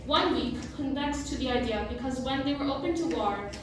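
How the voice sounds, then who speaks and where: Teenage female student presenter, high-pitched, scripted but informal; distant mic, strong room echo. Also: expressive, brisk. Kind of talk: school presentation, prepared script with casual asides. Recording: very echoey room, distant mic, clear noise.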